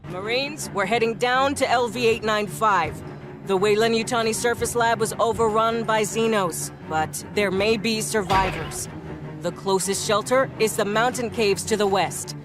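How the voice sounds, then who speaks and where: Casting: actress as military lieutenant, mid-pitched, measured briefing tone, clear music underneath. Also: female voice, close to an everyday voice. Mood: composed. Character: composed female lieutenant briefing marines. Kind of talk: game voice acting, mission briefing.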